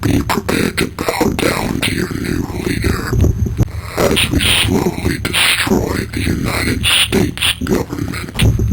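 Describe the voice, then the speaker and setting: A man voicing a menacing terrorist leader in an audio drama, slow and threatening, with a distorted gravelly growl. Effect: pitched-down distortion. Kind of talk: villain broadcast in amateur audio drama. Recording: heavy noisy distortion.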